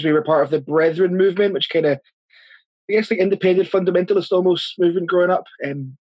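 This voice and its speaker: A man's voice: on the high side, no room sound, poor audio. A man in his thirties with a Northern Irish accent, answering interview questions as a church planter, earnest and chatty, with frequent restarts. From Northern Ireland.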